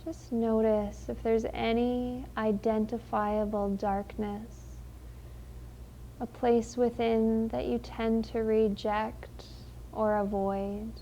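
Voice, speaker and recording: A woman leading a guided meditation in a gentle, unhurried, soothing manner with long pauses. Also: on the high side, level tone, lo-fi sound, slight background noise.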